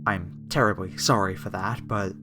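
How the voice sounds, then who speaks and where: A man's voice: deep voice, fairly even intonation, normal speed, close to an everyday voice. A man playing a polite, apologetic masked cultist, a former music director, in a horror ASMR roleplay addressed to the listener. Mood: calm, reverent menace.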